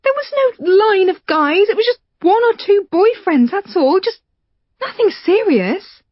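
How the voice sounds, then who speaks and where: A woman reading an audiobook, voicing a distraught young English woman who pleads in a high, strained, tearful voice. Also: lively intonation, measured pace, no room sound. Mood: pleading. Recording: dull lo-fi recording.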